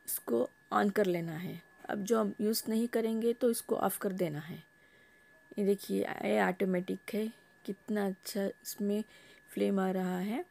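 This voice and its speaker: A woman's voice: medium pitch, creaky, darkish tone. A woman vlogger casually narrating an unboxing at home, explaining each step in a homely way. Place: home, table top.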